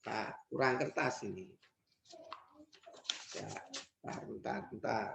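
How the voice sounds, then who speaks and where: A male math teacher explains exam problems one step at a time. He poses his own questions and answers them right away. He goes back over each step, and every so often his voice sinks into a soft aside to himself. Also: on the low side, takes it slowly, creaky, noisy background. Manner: thinks aloud, repeats steps, quiet muttered asides. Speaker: high school math teacher.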